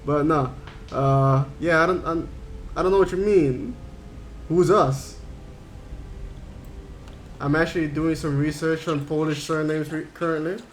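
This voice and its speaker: A man's voice: on the high side, steady tone, a little slow, long pauses, a little hiss or hum. A man from Curaçao streaming a game, chatting casually and answering his chat in a rambling way. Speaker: gaming streamer.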